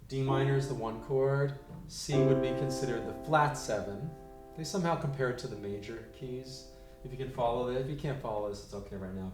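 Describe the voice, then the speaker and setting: A man teaching a piano lesson, counting beats out loud and explaining chord changes. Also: mid-range voice. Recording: roomy, distant mic, loud piano. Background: piano playing.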